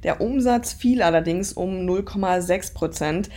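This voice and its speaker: A woman's voice: on the low side, measured pace, warm tone. A woman giving a conversational financial news report as a market correspondent.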